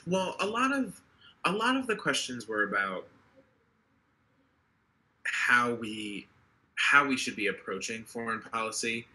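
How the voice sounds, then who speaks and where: A man's voice: medium pitch, very animated, unhurried, long pauses, pushed and bright, low-quality recording. A man in his twenties, an earnest political candidate speaking passionately on a video call, often restarting his sentences. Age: 20s. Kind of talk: interview over video call.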